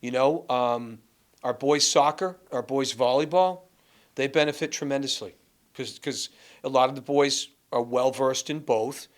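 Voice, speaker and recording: A man from Massachusetts, a guest and former school athletics director, telling animated stories in a casual chat with many restarts. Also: mid-range voice, a little slow.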